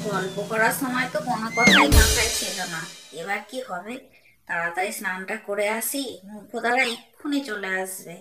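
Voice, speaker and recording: A woman's voice: medium pitch, natural voice. A woman voicing an anxious, flustered housewife in an amateur cartoon, fretting and complaining. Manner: fretful, muttering complaints to herself. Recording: very noisy home recording.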